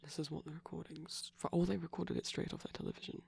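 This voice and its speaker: A young adult performer playing a shy, nerdy boyfriend in a casual, soft-spoken ASMR ramble, enthusiastic but hesitant. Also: mid-range voice, little pitch movement, quick pace, creaky, husky.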